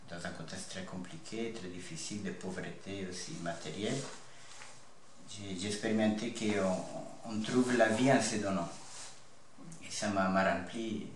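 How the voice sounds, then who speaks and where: A man of twenty-nine from north-east Italy with an Italian accent, giving an earnest personal testimony in halting phrases. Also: sits low. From north-east Italy (Friuli). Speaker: interview guest, seminarian about to be ordained priest. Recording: echoey room with faint background noise.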